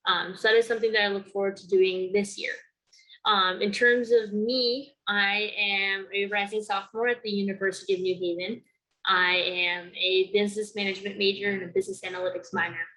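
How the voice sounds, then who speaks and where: A young woman of about nineteen who grew up in Connecticut and whose first language is Spanish. She speaks to the camera in an earnest, measured way, explaining her work step by step. Her voice sits at a middle pitch. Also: steady tone, fairly bright tone, rough recording. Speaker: youth program founder and honoree. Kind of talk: recorded award-video talk to camera.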